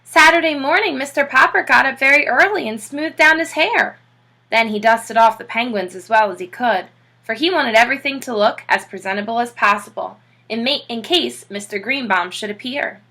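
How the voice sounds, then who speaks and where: A woman reading a children's book aloud in an expressive narrating style, like a teacher. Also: fairly high pitch, moderate pace.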